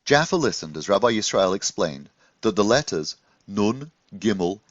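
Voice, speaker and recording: A man reads a children's story aloud as an expressive narrator, in a gentle, measured storytelling manner. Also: fairly low pitch, dry recording, lo-fi sound. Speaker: storybook reader.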